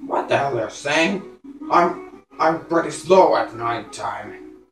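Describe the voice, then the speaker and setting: A man's voice: mid-range voice, animated, takes it slowly, fairly bright tone. Blunt, impatient young hedgehog hero, done by a man, hesitant delivery, echoey room, music behind, amateur game parody. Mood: irritated. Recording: roomy recording over game music.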